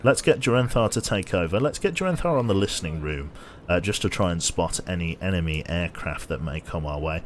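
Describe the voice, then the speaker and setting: A man streaming a game with casual commentary, a little tired, his voice slightly nasal and a bit raspy, chatty as he thinks aloud and thanks viewers. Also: deep voice, lively intonation, a little fast, hardly any pauses.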